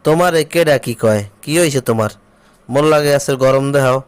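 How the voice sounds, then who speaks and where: A man's voice: medium pitch, fairly quick, clean tone, close to an everyday voice. A man with a Barisal-region Bangladeshi accent playing an aggrieved, jobless husband home from abroad in a comic cartoon. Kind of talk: comic cartoon dialogue in rural dialect.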